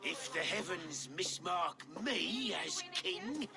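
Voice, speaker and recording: An actor playing a proud, sly and pious deposed king in a video game, speaking in a grand, halting, theatrical way. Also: high voice, expressive, vocal fry, full character voice. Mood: mock-pious. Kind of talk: dramatic video game acting.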